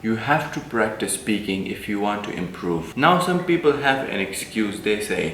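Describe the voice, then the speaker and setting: A man's voice: lowish voice, lively intonation, normal speed, fairly bright tone, a little hiss or hum. A young man from Pakistan, speaking with a Pakistani accent worked toward American, earnestly coaching English learners to camera. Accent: Pakistani speaker aiming for an American accent. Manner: earnest, direct, repeats key advice. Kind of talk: motivational language lesson to camera. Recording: echoey room.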